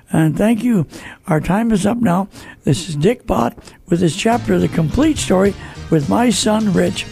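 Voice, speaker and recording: A man's voice: sits high, creaky, a little mellow, husky, no echo. An older American man hosting a radio program, talking casually and folksily, repeating phrases for emphasis. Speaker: radio host. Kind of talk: casual radio talk with co-host.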